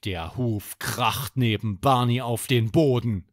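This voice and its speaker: A man hosting a children's podcast, narrating a bedtime story in an expressive, dramatic way. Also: medium pitch, takes it slowly, no room sound, studio quality.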